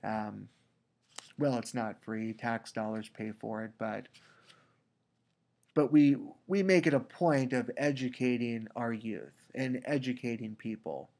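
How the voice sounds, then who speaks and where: A man with a cold, sounding congested, giving a recorded lecture as a teacher, talking deliberately with frequent restarts. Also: mid-range voice, a little mellow. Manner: slow, deliberate, with repeated restarts. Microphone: computer mic for a screen recording.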